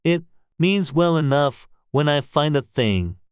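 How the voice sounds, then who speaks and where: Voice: mid-range voice, animated, unhurried, clean tone, no echo, studio quality, close to an everyday voice. A performer voicing a literal-minded duck in a storybook drama. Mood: matter-of-fact.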